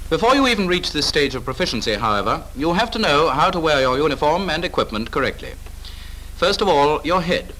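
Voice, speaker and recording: A man's voice: fairly high pitch, very animated, brisk, sharp tone, slight background noise, poor audio. A British man narrating a 1940s instructional film in a clipped, authoritative, instructive manner, on an old film soundtrack.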